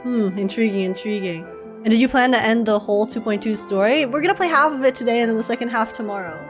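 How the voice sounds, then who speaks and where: A woman streamer giving casual live commentary on a game story, thinking aloud and quick to giggle. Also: a little high, music in the background.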